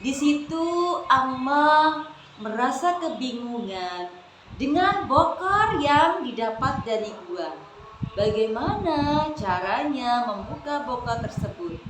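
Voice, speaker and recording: Slow, expressive woman storyteller. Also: high-pitched. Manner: addresses listeners as friends, expressive. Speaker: storyteller for children. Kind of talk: children's storytelling. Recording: echoey room with clear noise.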